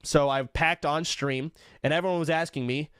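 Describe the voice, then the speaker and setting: A man's voice: a little high, fairly even intonation, normal speed, a bit bright, clean tone, dry recording, studio quality. A man livestreaming casual reaction commentary, rambling along in slangy, sweary language.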